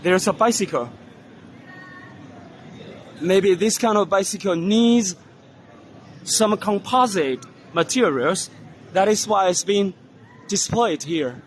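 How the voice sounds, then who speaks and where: Slow, hoarse high-voiced man. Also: expressive, slight background noise. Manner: unhurried, halting, many fillers. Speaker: vlogger touring a trade fair. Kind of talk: casual walking-tour commentary. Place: indoor exhibition hall.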